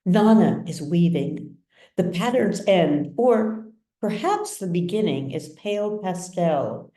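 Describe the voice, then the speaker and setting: Female story narrator: very unhurried, measured and dramatic, slightly low, recorded in a roomy space. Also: animated, warm tone. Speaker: author reading own novel. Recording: roomy echo, distant mic.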